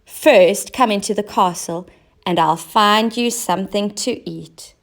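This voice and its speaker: A woman voicing a brisk, helpful, slightly bossy female cat in a children's storybook. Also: mid-range voice, lightly acted. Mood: helpful, thinking.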